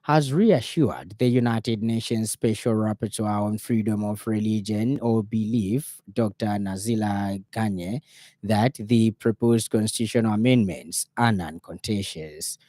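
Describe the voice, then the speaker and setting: A man with a Zambian accent reading a radio news bulletin in a formal, measured way. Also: fairly low pitch, mellow, dry recording.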